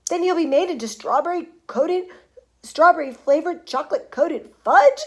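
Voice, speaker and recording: A woman's voice: fairly high pitch, lively intonation, normal speed, fairly bright tone, breathy edge, full character voice. Woman performing a shrieking, hysterical storybook mother. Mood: outraged. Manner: screaming, scolding, frantic. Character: hysterical, shrieking, indignant mother of a greedy boy. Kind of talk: children's storybook character voice.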